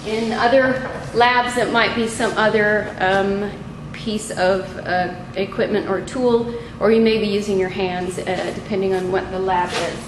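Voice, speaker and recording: A middle-aged woman instructor giving an unscripted, methodical lab demonstration in a halting way, picked up by a camera a few feet away in a small room. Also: medium pitch, steady tone. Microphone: camera mic a few feet away. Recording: echoey room with clear background noise.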